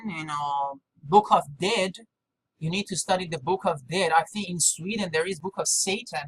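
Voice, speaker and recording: A non-native English speaker with a mid-pitched voice rambles through an unscripted vlog monologue. The delivery is halting and repetitive, breaking off and jumping between thoughts. There is clear noise behind the voice. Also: fairly bright tone. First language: not English. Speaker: video maker. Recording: clearly noisy background.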